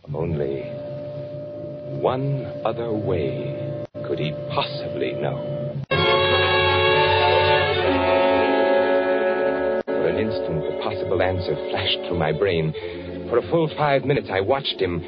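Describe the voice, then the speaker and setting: An actor playing a hunted, fearful spy in a 1940s radio thriller, narrating in a brooding, deliberate way. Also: male voice, on the low side. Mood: anxious, searching. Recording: muffled 1940s radio recording with music.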